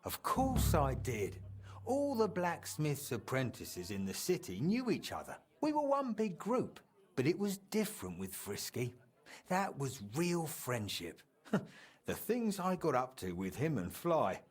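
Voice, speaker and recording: A man's voice: on the high side, wildly swooping pitch, a little slow, slightly rough, exaggerated voice. An actor playing a jovial, roguish middle-aged tradesman in a video game, colloquial and teasing. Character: roguish, jovial aging tradesman reminiscing about wild youth. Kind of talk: video game dialogue acting.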